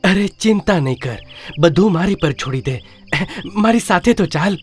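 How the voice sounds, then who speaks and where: A man voicing a sly, flattering jackal minister in a children's animated story, wheedling and smooth-talking. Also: sits high, moderate pace, faint music behind. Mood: coaxing.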